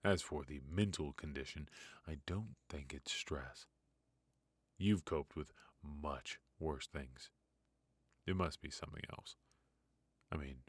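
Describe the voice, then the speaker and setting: Man playing a very deep-voiced, slow mafia boss. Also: dry recording, exaggerated voice. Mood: probing, concerned. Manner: murmuring, hesitant pauses, coaxing with quiet menace. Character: brilliant, ruthless male mafia boss, possessive yet protective. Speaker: voice actor. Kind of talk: noir ASMR roleplay, intimate dramatic monologue. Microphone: close studio mic, dry.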